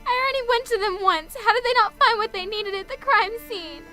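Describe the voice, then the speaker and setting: A woman's voice: extremely high pitch, fairly quick, bright, forceful tone, soft background music. A woman playing a grieving young college student who speaks in a raw, bitter, on-edge way. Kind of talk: amateur radio drama acting.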